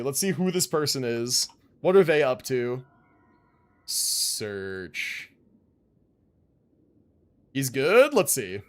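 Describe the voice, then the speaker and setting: A young man chatting casually to his livestream viewers as a game streamer, informal and rambling, with free swearing. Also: a little high.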